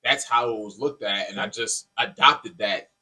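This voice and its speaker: A man's voice: fairly low pitch, moderate pace, bright, forceful tone, slightly rough, rough recording. A man talking casually and earnestly as a podcast co-host, with informal, buddy-like phrasing. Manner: informal, peppered with "man" and "dude".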